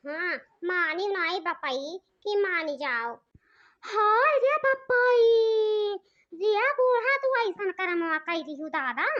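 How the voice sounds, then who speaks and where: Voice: very high-pitched, full character voice. A performer voicing dramatic, squabbling village women in a comedy cartoon, with a thin childlike voice, an Awadhi accent and wailing, scolding delivery. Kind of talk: comedy cartoon dialogue in rural dialect. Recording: dull, muffled lo-fi audio.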